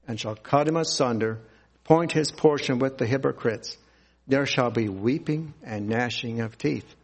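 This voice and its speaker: A man preaching a sermon, reading scripture aloud and explaining it in a deliberate, earnest way. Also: medium pitch.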